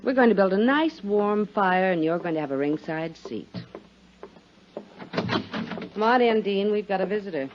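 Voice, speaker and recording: A woman's voice: mid-range voice, animated, measured pace, long pauses, lightly acted. Dull old radio recording, faint noise, young woman actress as a scheming femme fatale, short dramatic phrases. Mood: threatening. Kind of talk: 1950s radio drama acting.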